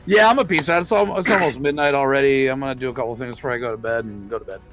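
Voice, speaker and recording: A man's voice: mid-range voice, rapid-fire, a bit bright. A man playing a tabletop game, chatting informally and jokily, swearing freely. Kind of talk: casual chat. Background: music.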